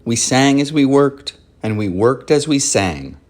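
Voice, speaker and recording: A man hosting a children's read-aloud, narrating from a script in a measured, clearly phrased way. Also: medium pitch, animated, faint music behind.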